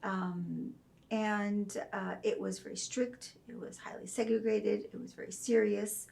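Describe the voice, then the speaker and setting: A middle-aged woman from Saudi Arabia, a religion professor speaking as an interview guest, telling her story in a measured, thoughtful way with soft fillers. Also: medium pitch, steady tone, vocal fry.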